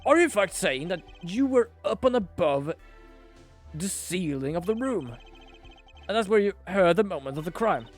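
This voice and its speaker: A man reading the part of a stern older courtroom judge in a loud, commanding voice. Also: high voice, expressive, moderate pace, soft background music.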